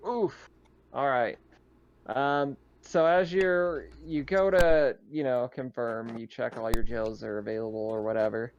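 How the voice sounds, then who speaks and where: A man running a tabletop roleplaying game as game master, narrating casually in halting, improvised phrases. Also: mid-range voice, steady tone, lo-fi sound.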